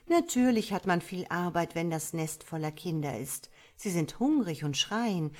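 A cheerful, self-assured starling, as a woman reader voices him in a storybook. He talks in a breezy, lightly lecturing way. The voice sits somewhat low. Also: a little mellow. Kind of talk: storybook character voice.

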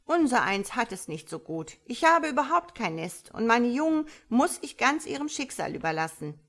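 A woman's voice: fairly high pitch, very animated, moderate pace, a bit bright, pitched above the performer's own voice, full character voice. A woman voicing a big, gloomy, touchy male frog in a storybook reading, grumbling and dropping in quacks. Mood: glum, complaining. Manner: croaky, grumbling, interjects quacks. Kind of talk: storybook character voice.

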